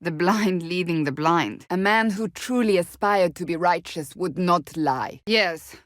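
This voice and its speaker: A woman performs a stern, devout warrior woman from a fantasy video game. Her voice sits on the low side, in a very dry recording. She speaks formally and bluntly, with righteous conviction. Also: unhurried, no room sound, natural voice. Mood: stern, earnest. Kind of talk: dramatic fantasy game acting.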